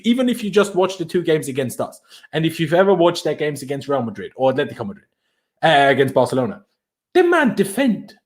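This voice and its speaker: A man hosting a football podcast over a video call. He talks casually and gets more animated when he argues a point, pushing his voice up for emphasis and laughing often. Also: mid-range voice. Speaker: podcast host.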